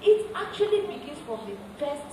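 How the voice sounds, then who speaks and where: A woman with a Nigerian accent playing an earnest explainer in stage drama dialogue, projecting deliberately. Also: extremely high pitch, big pitch swings, a little mellow. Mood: earnest, explanatory. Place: theatre stage. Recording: echoey stage hall, distant mic, noisy.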